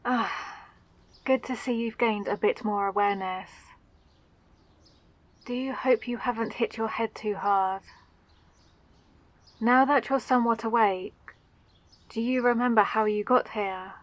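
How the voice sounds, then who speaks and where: A woman playing a gentle, curious female mage in a fantasy roleplay, speaking politely and soothingly with old-fashioned phrasing. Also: fairly high pitch, unhurried, pauses often, no echo, natural voice. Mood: gentle concern. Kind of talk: roleplay audio drama addressed to the listener.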